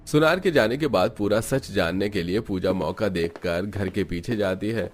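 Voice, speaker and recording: A man's voice: on the low side, normal speed, slight background noise. A man narrating a scripted cartoon family story.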